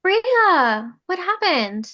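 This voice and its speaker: An actress as an eager young woman teaching school. Her voice is high and bright, with a slow, deliberate pace. She sounds earnest and perky, as in a sitcom script reading. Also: full character voice. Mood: concerned. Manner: perky, animated. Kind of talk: script table read.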